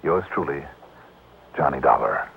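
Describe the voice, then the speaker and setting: An actor playing a brisk, sharp, self-assured American insurance investigator in vintage radio drama style. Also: male voice, a bass voice, animated, vocal fry, full character voice. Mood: warm, signing off. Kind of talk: 1960s American radio drama acting and first-person narration. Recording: tinny, noisy old radio broadcast.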